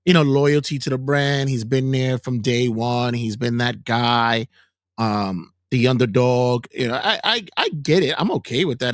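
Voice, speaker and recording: A man hosting a casual wrestling news podcast, talking in an animated, joking way. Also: medium pitch, no room sound, studio quality. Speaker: podcast host.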